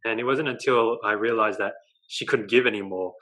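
Young Australian man, bright forceful voice, animated storyteller, earphone mic on a video call from his living room. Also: fairly low pitch, a little fast, rough recording. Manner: animated storytelling, gestures a lot, tags lines with "right?". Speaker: guest, dating coach.

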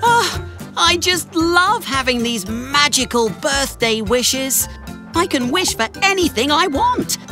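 A bubbly young male cartoon engine, helpful and playful. The high voice swings up and down with excitement at an unhurried pace, over clear background music. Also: heavily acted. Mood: cheerful, eager. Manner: bubbly, exclamatory. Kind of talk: children's animated series dialogue.

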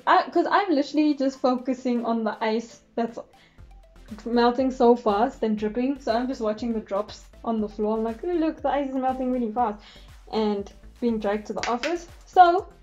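Young woman, congested, higher-pitched, mellow, giggly casual storytelling, camera mic. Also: moderate pace, dull audio, faint music behind. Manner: chatty, giggly, acts out quotes. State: congested, losing her voice. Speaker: vlogger. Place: bedroom, indoors.

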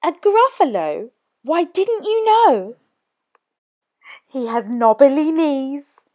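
A small, clever mouse from a children's storybook, acted out by a woman. The voice is very high and swings widely up and down. It is polite yet cheeky, with a chirpy, bold way of talking. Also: mellow, full character voice.

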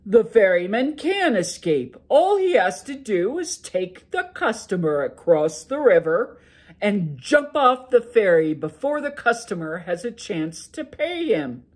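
A woman voicing a drowsy, irritable male ogre who talks loudly and grumbles. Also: medium pitch, very animated, a little slow, voice pushed up. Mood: grumbling. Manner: loud, grumbling, yawning.

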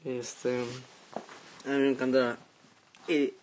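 Male, late teens, Mexican accent. Unhurried, laid-back live streamer with a lowish voice, halting and slangy. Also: little pitch movement, darkish tone, a little hiss or hum, rough recording. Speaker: social media live streamer. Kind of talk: casual chat, reading out and answering viewer comments. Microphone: phone at arm's length.

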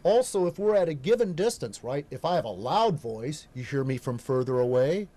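A middle-aged American man with a voice a little higher than most, talking a TV host through earthquake science from a studio desk mic. He explains with many restarts and self-deprecating asides. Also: sing-song, moderate pace, dark tone. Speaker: geologist guest. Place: local TV studio.

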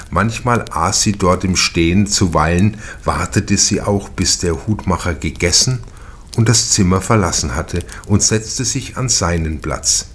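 A man narrates a crime novel in a low voice. His delivery is measured and deliberate, as scripted literary storytelling for an audiobook.

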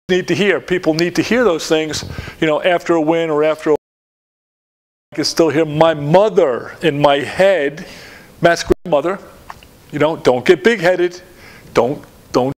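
An older man in his sixties giving a coaching clinic talk in a lecture room, rambling with many fillers and restarts. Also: fairly high pitch. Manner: rambling, lots of fillers and restarts, gestures at slides. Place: dim lecture room in front of a projected slide.

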